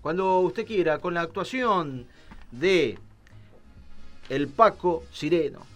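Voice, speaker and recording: Man, Argentine accent, higher-pitched, informal live radio presenting, music behind. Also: expressive, slow, fairly bright tone, muffled recording. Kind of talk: live, loose radio presenting with sponsor read.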